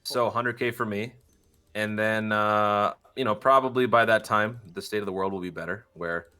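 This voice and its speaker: Bright-voiced young man, playful and jokey. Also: lowish voice, fairly even intonation, moderate pace, smooth, faint music behind. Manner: playful, jokey, self-deprecating. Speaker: podcast guest, voice actor. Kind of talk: casual podcast chat.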